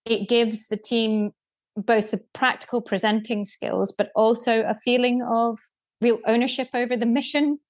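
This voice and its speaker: Even-toned woman. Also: on the high side. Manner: measured, explanatory, with frequent restarts. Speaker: guest, deputy programme director. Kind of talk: casual podcast conversation.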